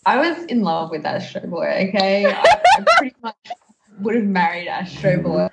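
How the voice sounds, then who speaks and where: A woman's voice: medium pitch, big pitch swings, takes it slowly, vocal fry, pauses often, very noisy. A woman hosting a podcast in casual chat, with a giggly way of talking that often breaks into laughter. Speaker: podcast host. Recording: slightly dull, echoey room.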